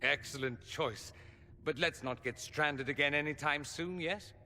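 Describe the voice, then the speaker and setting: An actor playing a witty, urbane, flippant mage in a video game, with an arch, theatrical way of talking. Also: male voice, fairly high pitch, a little slow, sharp tone, long reverb tail, a little hiss or hum. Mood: playful. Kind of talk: video game cutscene acting.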